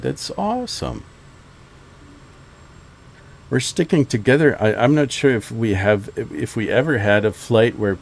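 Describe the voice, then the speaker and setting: A man's voice: lowish voice, a little mellow. A German man chatting casually on headset while flying in a flight sim, hesitant with many restarts. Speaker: flight sim video host. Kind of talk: casual chat over radio while flying.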